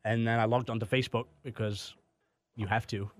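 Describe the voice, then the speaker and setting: A young English man with a slightly Canadian-tinged accent, chatting as a comedian guest in a quick, self-deprecating way. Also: mid-range voice, flat delivery, no echo. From England. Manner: quick, self-deprecating, stumbles over starts. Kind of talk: casual podcast chat.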